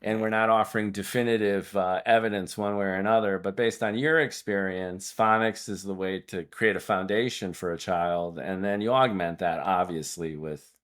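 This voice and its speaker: Low-voiced, long-winded male podcast host. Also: fairly even intonation, normal speed, few pauses, fairly bright tone. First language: Hungarian. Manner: discursive, wordy, prone to digressions. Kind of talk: conversational podcast interview.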